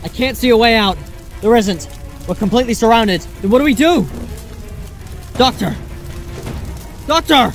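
A man's voice: very high-pitched, expressive, normal speed, pauses often, faint music behind. A man performing earnest, alarmed adventurers in a fan-made audio drama, with dramatic, emotive delivery. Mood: panicked, shouting. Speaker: voice actor performing all parts.

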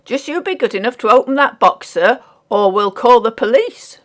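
Lady doing a dogged man for an audiobook, blunt, pitch jumping around. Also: animated, normal speed, lightly acted. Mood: dogged, indignant. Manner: dogged, blunt, ungrammatical dialect speech. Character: gruff, stubborn male English dock porter, working-class. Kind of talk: audiobook character voice.

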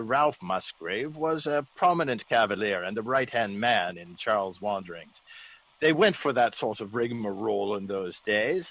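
An actor playing a genteel, easygoing English gentleman with polite, old-fashioned exclamations, in a classic radio drama. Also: fairly low pitch, exaggerated voice. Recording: dull old radio recording.

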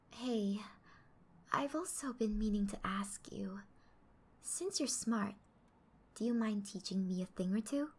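A woman playing a friendly, sporty schoolgirl confiding in her crush, speaking softly and half-whispering in an ASMR roleplay. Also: fairly high pitch, husky. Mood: soft, casual. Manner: soft, half-whispered, casual.

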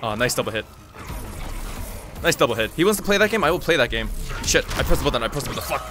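A man whose first language is Korean, hosting his channel and commentating his own online fighting-game matches, excitable and swearing freely as he talks to himself. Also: medium pitch, fast, over music. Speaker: gaming channel host. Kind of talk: live gameplay commentary.

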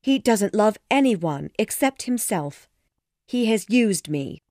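A man voicing a frightened, battered young wife in a high pleading falsetto, dry close sound. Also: animated, slow, darkish tone, no room sound, full character voice. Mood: bitter.

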